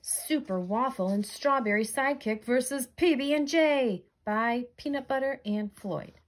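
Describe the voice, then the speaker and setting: Teacher lady reading a kids comic out loud, slow and lively. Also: mid-range voice. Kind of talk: children's comic book read-aloud. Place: indoors, book on a tabletop.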